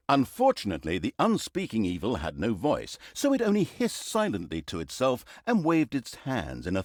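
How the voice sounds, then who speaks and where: A man playing a wry, mocking storyteller who narrates a dark fantasy comedy game in a theatrical way. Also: fairly low pitch, no room sound, clean studio sound.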